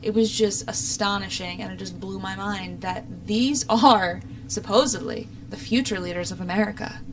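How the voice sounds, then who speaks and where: A woman's voice: medium pitch, fairly even intonation, normal speed, slight background noise. A young adult woman giving opinionated, casual political commentary as a talk show host, in an exasperated, sarcastic manner.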